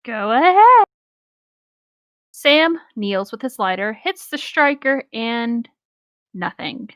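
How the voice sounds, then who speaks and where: A woman's voice: on the high side, sing-song, slow pace, a bit bright, dry recording, studio quality. A woman casually reading a first-person story aloud and chatting between lines. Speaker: co-reader of an internet story.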